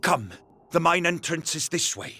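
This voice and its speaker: An actor playing a gruff, businesslike male dwarf smith in a video game cutscene, with a gravelly voice. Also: a little high, animated, moderate pace, pushed and bright. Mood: brisk. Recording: game capture with music underneath.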